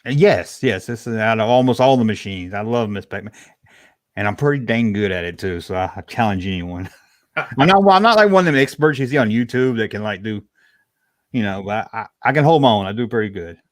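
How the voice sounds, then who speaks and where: A man's voice: on the low side, a little fast, dry recording, studio quality. Middle-aged man with a Southern US accent. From Georgia, US South. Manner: folksy, colloquial. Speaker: livestream guest, toy collector. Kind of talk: casual chat, answering viewer questions.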